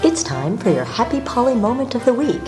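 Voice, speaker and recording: Animated high-voiced woman announcer over swing music. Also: a little fast. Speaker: segment announcer. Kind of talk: scripted podcast jingle announcement. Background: loud swing music.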